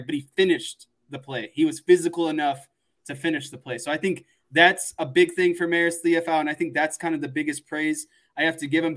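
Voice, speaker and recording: A man's voice: fairly high pitch, a bit bright. A man giving casual sports analysis on a podcast, explaining things and repeating phrases for emphasis.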